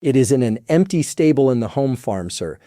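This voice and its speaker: A man's voice: mid-range voice, very animated, fairly quick, mellow, dry recording, studio quality, voice pushed up, close to an everyday voice. A man voicing a plain, earnest country gamekeeper who speaks respectfully to his master, for an audiobook. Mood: deferential, earnest. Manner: respectful, plain-spoken servant. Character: deferential rustic English head gamekeeper, plain and earnest. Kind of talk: audiobook character voice.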